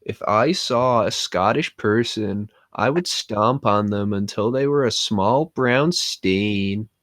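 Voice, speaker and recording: A man putting on a menacing figure who delivers threats slowly and flatly. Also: fairly low pitch, no room sound, clean studio sound. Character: menacing man making slow, deadpan threats.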